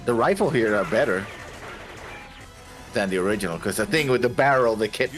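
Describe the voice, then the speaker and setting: A man's voice: fairly low pitch, animated, fairly quick, music in the background. A man giving casual running commentary as he reacts to a show with a co-commentator.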